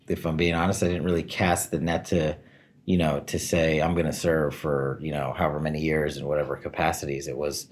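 A deep-voiced man in his forties tells his own career story in an interview. He sounds candid and relaxed, gently mocking his own best-laid plans. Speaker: interview guest.

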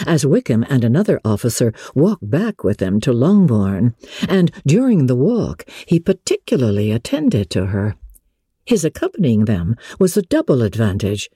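A woman narrating classic literature as a dramatic reading, expressive, measured and articulate. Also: a bass voice, slightly rough, no room sound, clean studio sound. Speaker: audiobook narrator.

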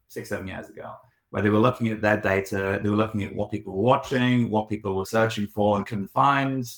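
A man's voice: on the low side, reverberant. A man, a design studio director, answering interview questions in a reflective, explanatory way.

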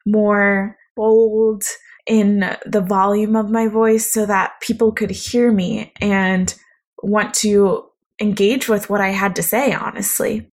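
A young woman with a naturally quiet voice, talking reflectively in a solo podcast monologue. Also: mid-range voice, little pitch movement, takes it slowly. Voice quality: quiet, soft-spoken voice. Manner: reflective, conversational, thoughtful. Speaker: podcast host.

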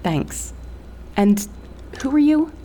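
Mellow, frank, questioning woman. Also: high voice, very animated, slow, slight background noise, full character voice. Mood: wary. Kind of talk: audio drama acting.

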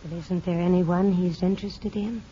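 An American woman playing an anxious mother longing for her child, earnest and halting, in 1950s radio drama style. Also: lowish voice, steady tone, mellow, natural voice. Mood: hesitant. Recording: muffled, noisy old radio broadcast.

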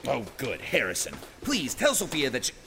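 A man's voice: medium pitch, wildly swooping pitch, normal speed, vocal fry, very noisy. A man playing a peevish, easily flustered board director in an audio drama, fussy and exasperated.

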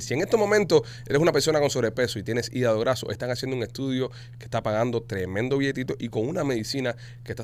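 A man with a Cuban Spanish accent hosting a podcast, chatting casually and reading sponsor ads in a quick, salesy way. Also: mid-range voice, slight background noise. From Cuba. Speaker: podcast host. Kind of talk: casual podcast talk with ad reads.

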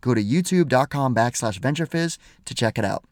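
A man in his forties hosting a podcast, reading the intro and then asking long, halting questions full of fillers. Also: medium pitch, expressive, a little mellow, no room sound, clean studio sound. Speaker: podcast host.

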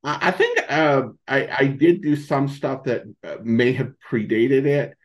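A middle-aged man, a podcast guest, answering in a casual, halting way and often rephrasing himself. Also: mid-range voice. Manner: halting, hesitant, frequently rephrasing. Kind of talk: casual interview answers, reminiscing.

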